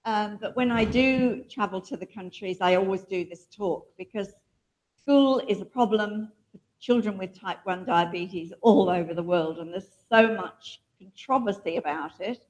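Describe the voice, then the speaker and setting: A woman's voice: medium pitch, normal speed, a little mellow, poor audio. Chatty woman giving a talk. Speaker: diabetes educator presenting. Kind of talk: conference talk with audience questions. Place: lecture hall.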